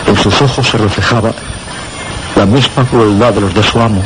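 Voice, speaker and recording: A man's voice: on the low side, fairly even intonation, noisy background, natural voice. An actor playing a haunted, confined man who halts and repeats himself in a Spanish suspense radio drama, with a Castilian accent. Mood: dread, awe. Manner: agitated, halting, repeating words. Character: haunted confined man recounting horror, insisting he is harmless.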